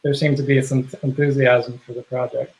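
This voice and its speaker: A man being interviewed on a video call, talking casually and haltingly with many fillers and restarts. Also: medium pitch, flat delivery, darkish tone, low-quality recording. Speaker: guest, software project contributor.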